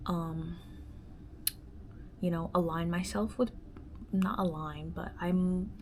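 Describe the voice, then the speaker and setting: A woman talks slowly and thoughtfully in her own solo podcast, pausing often and filling gaps with soft fillers. Her voice sits a little low, and she often sinks into a near-whisper. A faint hum of room ambience lies underneath. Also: steady tone. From born in the Dominican Republic, raised in the United States. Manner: musing and halting, many fillers, often drops to a soft half-whisper; gestures with her hands while talking. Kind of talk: reflective personal monologue.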